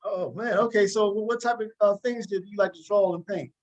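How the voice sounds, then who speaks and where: Slightly high-voiced man hosting an interview. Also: highs cut off. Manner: halting, repeats words. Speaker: interview host. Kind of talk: casual interview.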